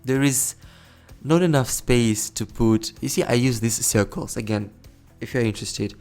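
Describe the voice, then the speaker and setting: A man's voice: medium pitch, normal speed, darkish tone, soft background music. A young man giving casual, rambling commentary over a city-building game, correcting himself and chuckling often. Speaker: let's play host.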